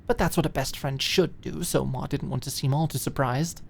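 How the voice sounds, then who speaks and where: A woman narrates a scripted drama in a low register. She reads as an audiobook storyteller, with a faint rumble of noise in the recording. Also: normal speed, gravelly.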